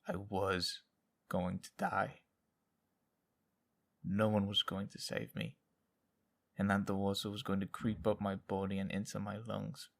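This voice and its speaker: An actor playing a haunted, loyal soldier who survived captivity, speaking in a halting, confessional way in an audio drama. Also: male voice, on the low side, level tone, creaky. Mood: bitter, fearful.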